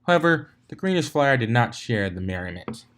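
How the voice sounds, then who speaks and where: A man narrates a piece of fan fiction. His voice sits at an average male pitch as he reads the story aloud. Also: very animated, brisk, fairly bright tone.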